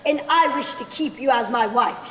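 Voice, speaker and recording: A woman's voice: fairly high pitch, moderate pace, fairly bright tone, natural voice. A young teenage girl playing a proud, brooding sultan in a school play, with formal, commanding delivery. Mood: softened, resolute. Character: proud, brooding, imperious sultan who softens. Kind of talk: school stage play acting. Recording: echoey hall, faintly noisy stage recording.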